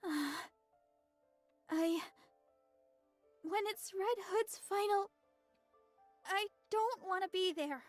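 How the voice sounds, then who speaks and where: Game casting: soft-hearted childlike girl, extremely high pitch, hesitant delivery, light music underneath. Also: no room sound. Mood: evasive, distressed. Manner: hesitant, halting, apologetic. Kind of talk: scripted game voice acting.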